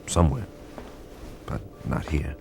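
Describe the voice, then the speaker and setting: An actor playing a weary, brooding linguist who narrates a radio drama deliberately, sometimes sinking to a hush. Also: male voice, rumbling low, expressive, creaky, full character voice. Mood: brooding, reflective. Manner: brooding, deliberate, dropping into hushed whispers. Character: weary, brooding male linguist recounting a nightmare. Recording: faint music under the voice.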